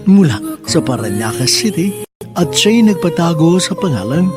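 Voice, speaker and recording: A Filipino man presents a radio drama in a scripted, sentimental style. His voice is a little high for a man. Soft music plays behind him in the studio. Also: very animated, normal speed. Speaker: radio drama host and narrator.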